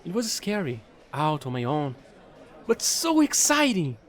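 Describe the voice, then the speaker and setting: Offbeat fallen angel space trader played by a man for audio roleplay: high, very lively pitch, eager, rambling, playful. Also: unhurried, a faint crowd, full character voice. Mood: excited, proud. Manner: rambling, playful, pleading, casual slangy talk. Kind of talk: audio roleplay monologue addressed to the listener.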